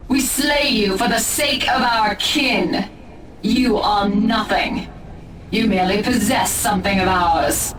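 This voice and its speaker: An actress playing a proud, disdainful alien elf seer in a video game, speaking in a haughty, commanding manner. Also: female voice, mid-range voice, takes it slowly, natural voice. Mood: cold contempt. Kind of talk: video game dramatic acting. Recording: echoing, distant voice under music.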